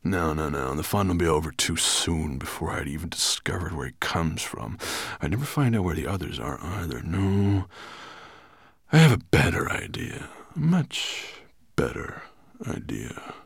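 A cruel storybook dragon, growling and gravelly. He is imperious and scornful, and his delivery swings widely as he menaces and commands his servants. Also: sits low, very animated, moderate pace, no echo, studio quality, full character voice. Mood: brooding, scheming. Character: cruel, imperious, raging golden dragon master, male.

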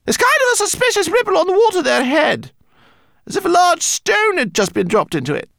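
A man reading aloud, voicing a bossy, practical female rat who flies a little plane, in a high, squeaky put-on voice. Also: wildly swooping pitch, vocal fry, fairly bright tone, dry recording, clean studio sound, full character voice. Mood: brisk. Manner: brisk, quick, commanding. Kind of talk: audiobook character voice.

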